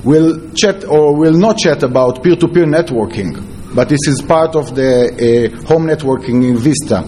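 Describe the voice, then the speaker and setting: A man with an Israeli accent giving a conference presentation as a security researcher, in halting, non-fluent English. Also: on the high side, dark tone, a little hiss or hum. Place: conference talk before an audience.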